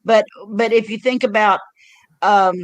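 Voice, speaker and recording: An older woman talks into a home mic on her own livestream. She rambles through stories, circling back with fillers and restarts. Her pitch sits in an ordinary middle range. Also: steady tone, measured pace. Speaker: livestream host. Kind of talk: casual chat.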